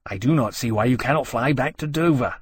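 Storybook dragon, done by a male narrator: male, sulky, ungracious, lowish voice, close dry sound. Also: steady tone, slow pace, no room sound. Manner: ungracious, grumbling.

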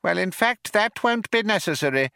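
Man doing a high, acid-tongued little mouse. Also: lively intonation, normal speed, gravelly, sharp tone, smooth, no echo, clean studio sound, heavily acted. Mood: coolly dismissive. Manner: acid, matter-of-fact. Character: small pink-eyed male mouse, coolly acidic and dismissive. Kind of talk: audiobook character voice.

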